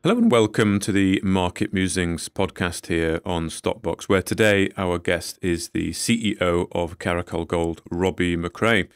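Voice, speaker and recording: A male podcast host with a deep, low voice introduces his guest and co-host. He keeps it friendly, pausing and restarting now and then. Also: clean tone, no echo, clean studio sound. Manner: friendly, slightly halting host patter.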